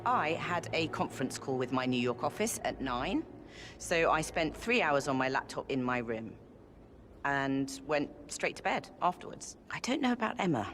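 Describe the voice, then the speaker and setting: A sharp-tongued sister. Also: female voice, deep voice, very animated, moderate pace, slight background noise. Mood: gossipy. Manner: crisp, gossipy, impatient. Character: brisk upper-class British woman, sharp-tongued sister. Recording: game audio with music behind.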